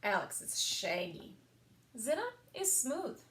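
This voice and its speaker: A woman reading picture books aloud for a children's story time, expressive and sing-song, with emphasized words. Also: on the high side, takes it slowly. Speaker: library story time reader.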